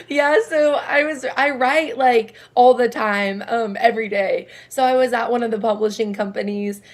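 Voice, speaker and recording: A young woman with a raspy speaking voice, though her pitch sits a little high for a woman. She answers interview questions in a chatty, rambling way, often laughing between her thoughts. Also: normal speed. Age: young adult. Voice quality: low raspy voice. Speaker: singer-songwriter guest.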